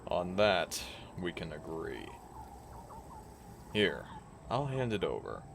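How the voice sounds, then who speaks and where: An actor voices a cocky, rugged werewolf man for an audio drama. The voice is low and creaky, with a slow theatrical drawl that swings widely in pitch. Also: sing-song, slight background noise, full character voice. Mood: calm, dry. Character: cocky, teasing male werewolf, loyal and rugged.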